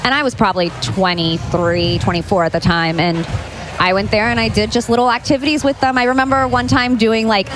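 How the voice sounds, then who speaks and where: A woman's voice: fairly high pitch, fairly even intonation, a little fast, over music, poor audio. A woman around her forties chatting casually and anecdotally as a podcast co-host. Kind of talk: casual chat. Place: live podcast at a restaurant.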